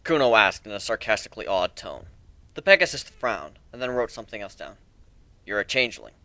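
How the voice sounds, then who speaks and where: Low-pitched man narrating a story, close dry sound. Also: sing-song, a little fast, bright, forceful tone, dry recording. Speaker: narrator reading fan fiction aloud.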